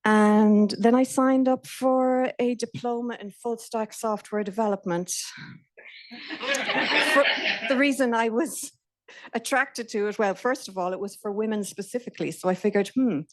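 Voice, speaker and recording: A woman's voice: on the high side, moderate pace. A middle-aged Irish woman giving a nervous, chatty talk to a live audience, with fillers and restarts. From Ireland. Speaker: speaker. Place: event venue in a company office.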